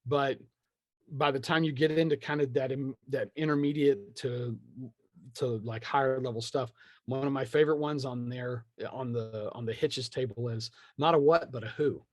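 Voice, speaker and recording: A man's voice: medium pitch, moderate pace, no echo. A man being interviewed as a game writer, talking casually and acting out imagined remarks with wry, self-deprecating humour. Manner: animated storyteller, acts out imagined remarks. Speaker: guest, tabletop game writer.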